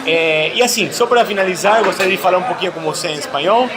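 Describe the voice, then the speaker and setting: High-pitched man, casual interviewer. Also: fast talker, a loud crowd. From Argentina. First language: Spanish. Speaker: interviewer and host.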